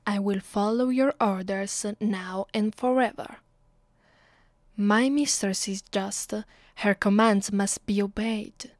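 Slow, commanding Italian-accented vampire mistress played by a woman. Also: medium pitch, no echo, natural voice. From Italy. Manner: commanding, deliberate. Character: domineering, sadistic female vampire mistress, haughty and cruel. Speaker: voice actress. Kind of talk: ASMR roleplay, close and slow with whispered moments.

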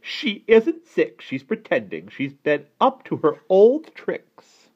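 A man's voice: sits high, big pitch swings, measured pace, lo-fi sound, pitched above the performer's own voice, exaggerated voice. A man voicing a bad-tempered old aunt who complains and bosses in a children's storybook. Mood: furious. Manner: complaining, bossy, exclaiming. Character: shrill, scolding, bad-tempered old aunt, never satisfied. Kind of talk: children's storybook read-aloud.

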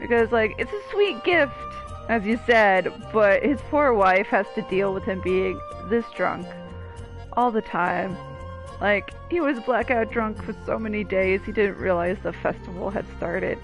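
A woman's voice: medium pitch, unhurried, rough recording. A woman streaming a video game, giving casual, joking commentary as she plays. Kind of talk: casual live gameplay commentary. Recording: stream mic over game music. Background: game music.

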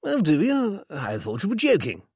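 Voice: medium pitch, wildly swooping pitch, normal speed, a little mellow, breathy edge, no echo, clean studio sound, voice pushed up, exaggerated voice. A reader voicing a breezy, unbothered young English man with an upper-class accent and an offhand manner. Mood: breezy, unconcerned.

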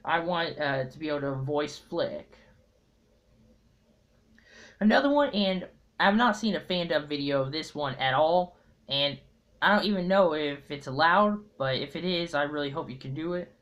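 A young man talking casually to viewers in a halting, hesitant way, recorded at home on a tablet's built-in mic. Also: fairly high pitch, lively intonation, a bit bright. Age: young adult. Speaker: amateur fandub video maker. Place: small room at home. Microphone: tablet built-in mic at arm's length.

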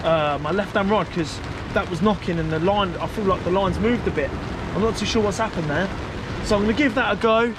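A man's voice: high-pitched, a little fast. A man chatting casually and hesitantly to camera as a fishing vlogger. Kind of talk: casual vlog chat to camera. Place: outdoors on a stormy beach under an umbrella. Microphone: camera at arm's length. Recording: clear rain and weather noise outdoors. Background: rain.

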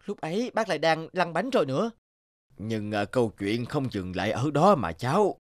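A man's voice: a little high, sing-song, moderate pace, no echo, clean studio sound. A man playing a kindly old talking train who reminisces in a children's cartoon. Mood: gentle, storytelling.

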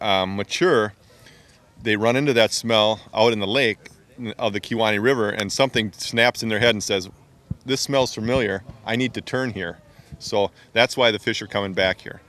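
A man's voice: lowish voice, fairly bright tone. A man outdoors explaining his work at a fish facility into a handheld mic, hesitant with many ums and restarts. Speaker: fisheries staff member. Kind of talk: explaining to an interviewer. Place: outdoors by a brick building.

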